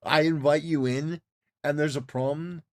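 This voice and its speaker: A man's voice: on the high side, steady tone, moderate pace, a little mellow. A man giving casual, opinionated livestream commentary in an emphatic, repetitive way, with stop-start phrasing. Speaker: livestreamer reacting to a clip.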